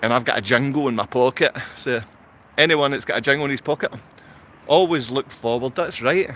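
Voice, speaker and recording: A man's voice: medium pitch, fairly quick, a bit bright. A man from Glasgow with a Glaswegian Scottish accent, talking unscripted to livestream viewers in a rambling, repetitive way full of rhetorical questions. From Glasgow, Scotland.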